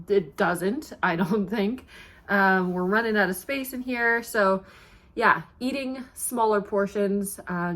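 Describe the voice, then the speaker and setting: A young woman, talking casually to camera about her pregnancy, stuffy and congested, with lots of ums and likes. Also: mid-range voice. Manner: chatty, relaxed, many ums and likes. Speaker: pregnancy vlogger.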